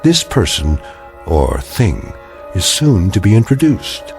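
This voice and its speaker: An actor playing the wry, portentous narrator of an eerie radio drama, speaking with deliberate menace. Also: male voice, low voice, wildly swooping pitch, gravelly, faint music behind, heavily acted. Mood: ominous, wry. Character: ominous, wry male narrator of uncanny tales.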